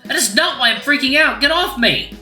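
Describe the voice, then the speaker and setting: A performer voicing a panicked, indignant young human who sputters in shrill exasperation. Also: expressive, a little fast, sharp tone, reverberant, faint music behind, exaggerated voice.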